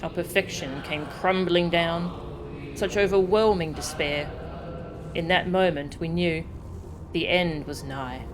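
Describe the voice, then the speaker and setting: A game streamer voicing a solemn, mournful ancient survivor from a fantasy game, speaking gravely like an incantation. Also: mid-range voice, slow, background noise, close to an everyday voice. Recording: game music behind the voice.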